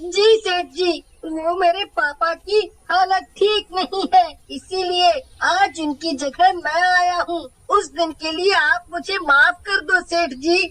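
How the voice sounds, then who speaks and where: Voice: extremely high pitch, steady tone, slow pace, hardly any pauses, a bit bright, no room sound, exaggerated voice. An adult voicing a poor shoeshine boy who becomes a ghost, pleading and insistent in a cartoon horror story. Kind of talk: Hindi animated horror story dialogue.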